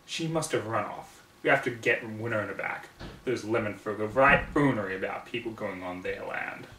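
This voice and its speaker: A man's voice: fairly low pitch, moderate pace, bright, forceful tone, a little hiss or hum, voice pushed down. Storybook country filly, acted by a man: young, earnest, folksy farm pony, heard roomy and a little distant. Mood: determined. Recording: roomy, distant microphone in home room.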